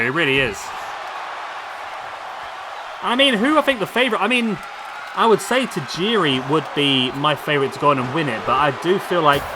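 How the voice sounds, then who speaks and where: A man commentating on a wrestling video game, losing his voice, chatty and excitable as he calls the action. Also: fairly high pitch. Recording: loud game crowd noise behind. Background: video game crowd and arena sound.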